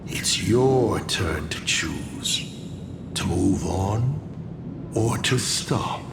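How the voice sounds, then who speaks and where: An actor playing a sly, theatrical masked trickster who poses as a father, wry and gravelly, in a video game. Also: low voice, animated, drawn-out words, over loud music, heavily acted. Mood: solemn, inviting. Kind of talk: dramatic video game dialogue.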